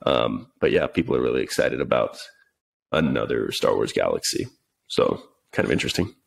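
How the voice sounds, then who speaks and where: A man's voice: a bass voice, normal speed, creaky, breathy edge. A man who is sick and sniffling, chatting casually as podcast co-host with lots of hesitant fillers. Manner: relaxed, hesitant with many fillers. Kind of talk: casual chat.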